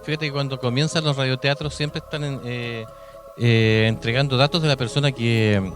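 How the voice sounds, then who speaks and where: A man's voice: medium pitch, steady tone, moderate pace. A man with a Chilean accent hosting a conversational radio interview. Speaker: radio host. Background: music.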